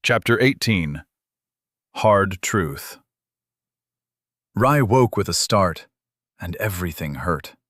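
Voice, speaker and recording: A man reading a fantasy audiobook in a measured, unhurried manner. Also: sits low, lively intonation, a bit bright, no echo, studio quality. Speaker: audiobook narrator. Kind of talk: scripted fantasy narration.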